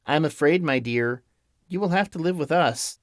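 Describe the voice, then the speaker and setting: A man voicing a gentle little old witch. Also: on the high side, expressive, normal speed, no echo, studio quality, pitched above the performer's own voice, lightly acted. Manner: gentle, motherly. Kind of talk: audiobook character voice.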